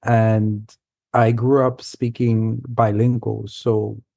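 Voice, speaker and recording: A man from New York City whose first language is Spanish, telling stories as a guest in a relaxed, rambling way with frequent self-corrections. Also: on the low side, slow, gravelly, no room sound. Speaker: guest, science fiction author. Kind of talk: casual storytelling in an interview.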